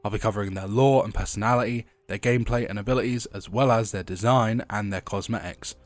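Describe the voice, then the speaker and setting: A man's voice: on the low side, fairly even intonation, quick pace, no echo. A young man with a head cold, sounding a bit congested, reading game lore for a video essay and dropping chatty asides along the way. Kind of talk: scripted video essay narration.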